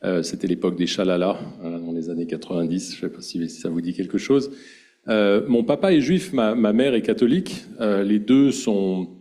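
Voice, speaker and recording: French guy telling his story, low husky voice, kinda hesitant. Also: normal speed, dark tone. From France. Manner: hesitant, many fillers and repeats. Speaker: guest giving testimony. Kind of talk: personal testimony.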